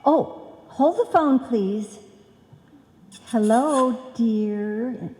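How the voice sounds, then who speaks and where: A woman's voice: fairly high pitch, very slow, mellow. A woman playing a scatterbrained, trusting wife in an old-time radio comedy, earnest and muddled. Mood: cheerful. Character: scatterbrained, trusting, chatty wife and card seller. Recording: echoey live hall.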